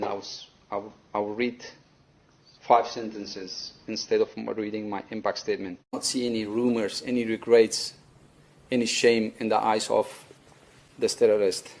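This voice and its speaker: A man's voice: on the low side, a little mellow. Resolute man with an Afghan accent. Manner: earnest, halting, firm and resolute. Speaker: attack survivor giving a victim statement. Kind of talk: courtroom statement, partly spontaneous. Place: courtroom.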